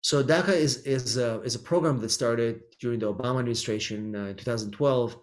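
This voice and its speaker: A man's voice: medium pitch, fairly quick. A middle-aged man from Israel, an immigration lawyer, answering interview questions thoughtfully with frequent ums and restarts. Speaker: immigration attorney, guest.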